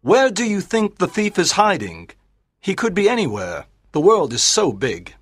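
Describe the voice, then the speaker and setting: An actor playing an incredulous, argumentative English club gentleman with a husky voice in a dramatized audiobook. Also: sits high, wildly swooping pitch, normal speed, no echo, heavily acted. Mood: incredulous, questioning.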